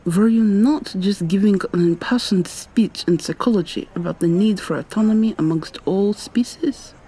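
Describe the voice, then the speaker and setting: A woman playing an articulate, probing young female vampire student in an ASMR roleplay, soft-spoken and questioning, with noise in the recording. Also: mid-range voice, moderate pace, husky, close to an everyday voice, low-quality recording. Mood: curious, skeptical. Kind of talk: ASMR roleplay, intimate conversational acting.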